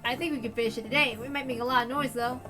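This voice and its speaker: A woman voicing a courteous, flattering male gardener, her voice hoarse. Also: a little high, rapid-fire, music in the background, close to an everyday voice.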